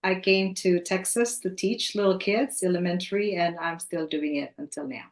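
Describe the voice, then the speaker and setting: A middle-aged woman from the Philippines, giving an earnest personal testimony in a measured, sincere way. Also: lowish voice. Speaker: guest, elementary teacher. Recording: echoey room.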